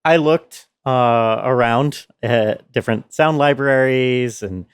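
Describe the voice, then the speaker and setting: A man explaining his sound design work in a measured behind-the-scenes walkthrough. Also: medium pitch, clear, resonant tone, no room sound, clean studio sound.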